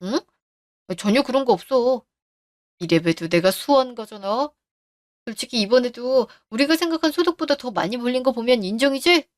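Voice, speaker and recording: A woman's voice: fairly high pitch, lively intonation, normal speed, no echo, clean studio sound, natural voice. A woman voicing a scheming middle-aged husband who brushes off his wife's worries, in a radio drama. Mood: smug.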